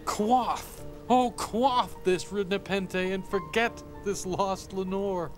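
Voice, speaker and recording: A man's voice: high voice, takes it slowly, faint music behind, pitched above the performer's own voice. A man playing a tormented, grief-stricken man in a theatrical, pleading gothic poetry recitation. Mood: anguished. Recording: creepy sound mix behind the voice.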